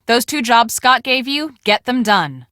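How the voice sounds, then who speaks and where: Bright, brisk young storm-chaser boss. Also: female voice, fairly high pitch, animated, dry recording, studio quality. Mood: brisk, businesslike. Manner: brisk, chatty, giving instructions. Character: busy, bossy young woman storm-chase researcher. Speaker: video game voice actor. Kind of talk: scripted game dialogue.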